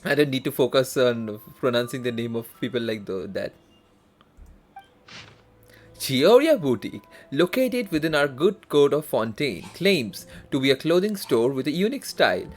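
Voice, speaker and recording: A man live streaming a game, reading text aloud haltingly with self-corrections and casual asides. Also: medium pitch. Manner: halting, stumbles and corrects himself while reading. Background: faint game music.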